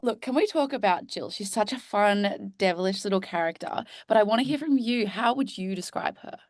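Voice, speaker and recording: A woman's voice: mid-range voice, lively intonation, fairly quick, a little mellow. A woman from Australia interviewing a guest, upbeat with teasing questions. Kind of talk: casual interview chat.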